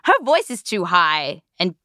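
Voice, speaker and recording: A woman hosting a podcast, chatting casually with other hosts in a recording studio in a lively, joking way. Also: lowish voice, measured pace, no room sound. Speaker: podcast host. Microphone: studio microphone.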